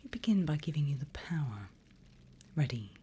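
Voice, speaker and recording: A woman playing a seasoned demon guide in an ASMR roleplay, teasing, sinister and silkily condescending, in a breathy, drawling whisper. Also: low-pitched, very animated, dark tone, slight background noise. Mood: instructing, coaxing. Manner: slow, drawling, teasing, mock-sweet.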